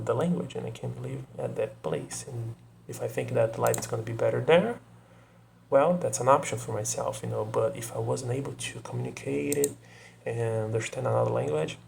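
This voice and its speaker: A young Brazilian man, native Portuguese speaker, talking casually to camera in English with a Brazilian accent, often pausing to search for words and adding "you know". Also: mid-range voice, gravelly, mellow, a little hiss or hum. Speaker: video creator.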